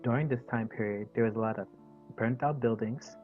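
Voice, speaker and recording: A man giving measured documentary narration from a played clip. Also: medium pitch. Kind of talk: documentary voice-over. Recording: dull lo-fi clip over music.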